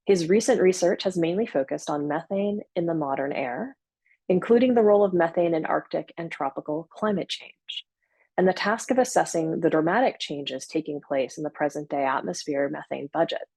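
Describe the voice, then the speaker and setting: A woman hosting a scientific webinar on a video call, speaking in a measured way with frequent fillers and self-corrections. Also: sits low, darkish tone, slightly rough, no room sound. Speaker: webinar host and moderator. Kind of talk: formal webinar introduction. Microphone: computer mic on a video call.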